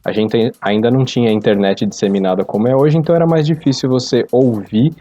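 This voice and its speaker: A middle-aged Brazilian man thinking aloud in a casual, halting way on a podcast. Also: medium pitch, warm tone, no echo, faint music behind. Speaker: podcast panelist. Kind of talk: casual reflective podcast discussion. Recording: slightly dull lo-fi audio.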